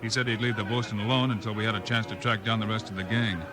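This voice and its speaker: An actor playing a calm, commanding Old West lawman with an American accent, clipped and no-nonsense, in a 1950s radio western. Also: fairly low pitch, fast talker, music in the background, close to an everyday voice. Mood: steady. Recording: vintage 1950s radio recording.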